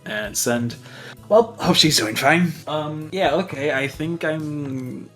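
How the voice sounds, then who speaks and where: A man's voice: on the high side, slow pace, a bit bright, faint music behind. A man playing a tabletop roleplaying game, narrating his character's actions in a casual, improvised way.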